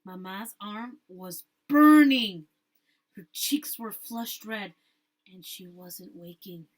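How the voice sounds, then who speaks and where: A woman teacher reading a storybook aloud to pupils and pausing to ask them questions. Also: mid-range voice, lively intonation.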